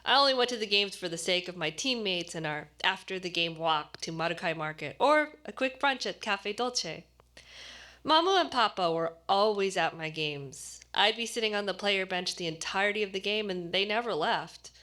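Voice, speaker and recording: A woman actor reading a short story aloud as expressive scripted narration. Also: mid-range voice, moderate pace.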